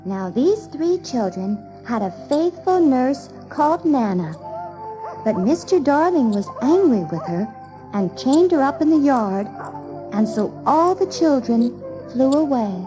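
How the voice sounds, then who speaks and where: A woman's voice: high-pitched, very animated, measured pace, music in the background, rough recording. A woman playing a motherly young girl telling stories in a children's audio drama, prim and instructive in manner. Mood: storytelling.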